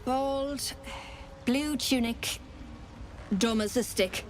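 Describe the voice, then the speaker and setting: An actress playing a grumbling, chatty gnome wife in a game, talking quickly and scornfully. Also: female voice, high-pitched, slight background noise.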